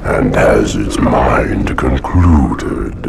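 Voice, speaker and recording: A man voicing a giant ancient parasite monster in a video game, ominous and grandiose, speaking in booming oratory. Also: lively intonation, gravelly, very noisy, heavily acted. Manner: slow, booming, rhyming oratory. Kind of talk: video game cutscene acting. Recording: loud music behind the voice.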